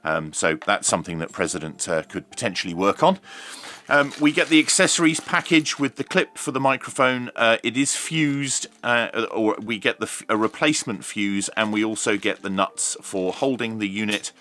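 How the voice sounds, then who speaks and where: A man's voice: lowish voice, lively intonation, normal speed, sharp tone. A British man presenting an unboxing review as host, with a jokey, tongue-in-cheek manner. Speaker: host of a radio review channel.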